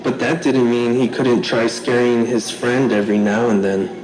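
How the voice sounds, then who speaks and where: A storyteller narrating a scripted children's tale in a deliberate, theatrical way. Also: mid-range voice, little pitch movement, rough recording. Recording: live show with audience noise.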